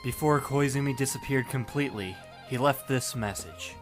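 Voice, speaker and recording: A man narrating as a deadpan, weary teenage boy. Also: mid-range voice, normal speed, faint music behind, lightly acted. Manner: deadpan, sarcastic. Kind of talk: first-person audiobook narration.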